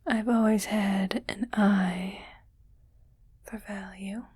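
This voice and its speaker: A woman voicing a greedy, rich female alien collector in an ASMR roleplay, whispering softly and deliberately with a coolly appraising, possessive manner. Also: mid-range voice, fairly even intonation. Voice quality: soft, breathy whisper. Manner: slow, deliberate, pausing between short phrases, coolly appraising.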